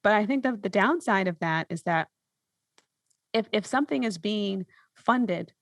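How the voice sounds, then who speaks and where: A woman's voice: mid-range voice, expressive, unhurried, vocal fry, no echo, studio quality. A woman hosting a podcast, chatting casually and conversationally with her guest. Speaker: podcast host.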